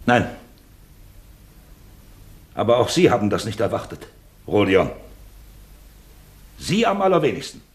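An actor in a German radio drama playing a shrewd, paternal investigating official who speaks deliberately and persuasively. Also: male voice, fairly low pitch, wildly swooping pitch, full character voice. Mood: knowing. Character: shrewd, self-admittedly plump male investigating official, paternally probing.

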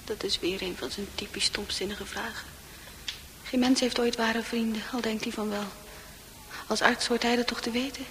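An actress voicing the inner thoughts of a withdrawn, resentful female psychiatric patient, breathy and mostly whispered, in a radio drama. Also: on the high side, fairly even intonation, moderate pace, fairly bright tone, slight background noise, lightly acted, poor audio. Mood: irritated. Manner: secretive, brooding.

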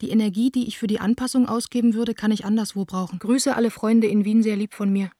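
An actress playing a young woman theatre director in a radio play, reading her letters aloud in a hushed, intimate, understated manner. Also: mid-range voice, fairly even intonation, quick pace, no room sound, clean studio sound, close to an everyday voice. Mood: matter-of-fact.

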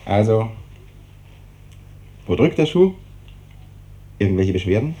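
An actor playing an evasive, hesitant hospital doctor in a 1950s German radio drama, on an old noisy recording. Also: male voice, on the low side, big pitch swings, slow pace, dark tone. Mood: brisk. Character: evasive, brisk hospital doctor, uneasy with hard truths.

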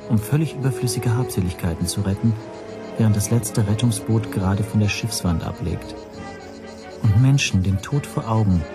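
Man as anxious teacher narrating, lowish voice, introspective, measured, radio play, loud music underneath. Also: close to an everyday voice. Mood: reflective. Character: anxious Hungarian language teacher narrating his ordeal. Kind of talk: radio drama first-person narration.

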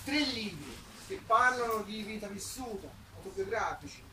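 A man's voice: high voice, expressive, normal speed, background noise. Hesitant man, echoey and far off. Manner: hesitant, rambling, tag questions. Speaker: author presenting his books. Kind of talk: informal, unscripted book presentation. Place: public book presentation, recorded from a distance. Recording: echoey, distant mic, audible crowd.

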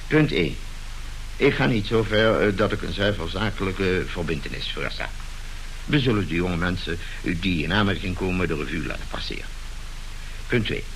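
A brusque middle-aged tycoon father in a radio play. His delivery swings widely in pitch with a creaky edge, pompous and officious, as though every family matter were a board meeting. The old recording has light background noise. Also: rough recording. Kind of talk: Dutch radio play acting.

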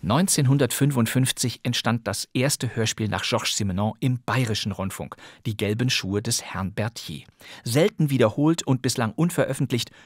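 A man's voice: medium pitch, dry recording, clean studio sound. A man hosting a podcast, giving a scripted, emphatic and slightly wry introduction. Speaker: podcast host. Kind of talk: scripted introduction of radio plays.

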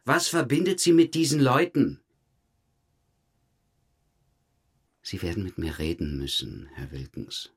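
A man's voice: lowish voice, wildly swooping pitch, moderate pace, slightly rough, full character voice. A man acting a police detective in a crime audio drama, dry and pointedly questioning. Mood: insistent. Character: male police detective, probing, dryly insistent.

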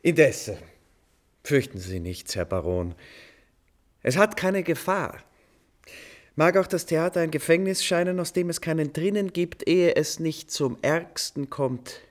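An actor in a German radio play playing a witty, genial composer with a playful, urbane, theatrical manner. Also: male voice, fairly high pitch, expressive, unhurried. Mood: jovial, assured.

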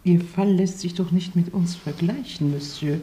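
A woman's voice: on the low side, normal speed, mellow, close to an everyday voice. An actress in a radio play playing a haughty, indignant lady of old family. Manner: indignant, snobbish. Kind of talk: radio drama acting. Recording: echoey room.

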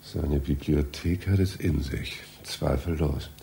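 An actor in a German satirical radio play playing a hesitant, polite, pedantic library visitor, formal and easily flustered. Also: male voice, a bass voice, creaky, mellow, a little hiss or hum, full character voice. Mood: pompous, musing. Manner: halting, flustered, formal, musing pompously when alone.

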